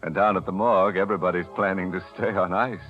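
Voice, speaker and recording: An actor plays a ghoulishly sardonic American horror host on 1940s radio. His voice is deep and wry, on a muffled vintage recording with music behind. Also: fast, lightly acted. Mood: sly, macabre. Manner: sardonic, deadpan ghoulish humor. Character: sardonic, macabre, chuckling mystery-show host.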